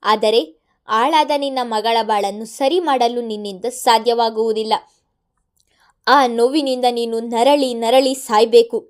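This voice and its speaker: A woman voicing a gloating, vengeful middle-aged man who taunts loudly over the phone. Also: a little high, fairly even intonation, a little slow, smooth, close to an everyday voice. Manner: taunting, sneering, loud.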